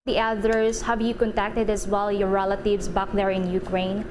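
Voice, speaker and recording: A woman's voice: mid-range voice, level tone, normal speed, darkish tone, slight background noise. A woman co-hosting, asking formal questions. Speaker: co-host.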